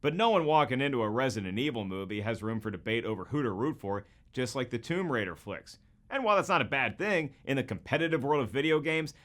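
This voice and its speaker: A man's voice: medium pitch, fairly bright tone. A man presenting scripted comparison commentary, opinionated and playfully irreverent. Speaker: video presenter.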